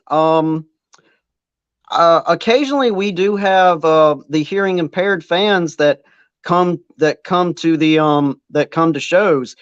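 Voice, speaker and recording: Chatty man with a slightly high voice. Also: no room sound, dull audio. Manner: rambling, self-deprecating, many fillers. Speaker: wrestling ring announcer, guest. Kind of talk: casual interview chat.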